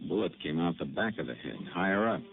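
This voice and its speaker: An actor playing a calm, methodical male Texas Ranger detective in a 1950s radio drama, asking measured questions. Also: low-pitched, gravelly. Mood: steady, probing. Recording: tinny lo-fi old radio broadcast with faint hiss.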